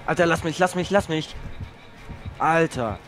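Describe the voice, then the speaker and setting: A man's voice: fairly high pitch, unhurried, a bit bright, soft background music. A young man playing a horror game with a friend, chatting casually and swearing, yelping when he gets chased. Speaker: gamer co-op player. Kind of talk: casual let's play chat.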